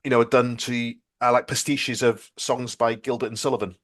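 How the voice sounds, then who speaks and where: A man hosts a casual fan interview in a voice of average pitch. He feels his way through his questions haltingly. His manner is modest and self-deprecating. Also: little pitch movement, fairly bright tone. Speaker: podcast host, interviewer.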